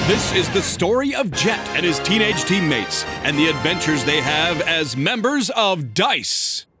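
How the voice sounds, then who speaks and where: A man giving scripted narration for a dubbed cartoon in a dramatic storytelling manner. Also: fairly high pitch. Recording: loud music under the voice.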